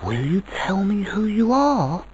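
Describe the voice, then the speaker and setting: A storyteller acting a high, pleading dream child. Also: very animated, measured pace, highs cut off, background noise. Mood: curious, pleading. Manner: plaintive, insistent questioning. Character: eager, wondering young dream child, a girl.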